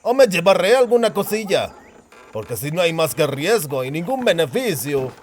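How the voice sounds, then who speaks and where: A spiteful, grasping dwarf from a fantasy audiobook. The voice sits far higher than ordinary male speech. He haggles slyly in coarse slang, always needling for profit. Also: sing-song, normal speed, slight background noise. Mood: sly, menacing.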